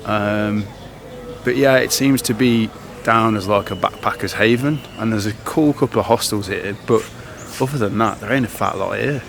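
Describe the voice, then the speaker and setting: Rambling lad vlogging outdoors. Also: male voice, fairly low pitch, normal speed, vocal fry, fairly bright tone. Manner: chatty, filler-heavy, loose and rambling. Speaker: travel vlogger. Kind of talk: casual travel vlog to camera. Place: outdoors in towns and on the road. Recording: outdoor camera audio with noise.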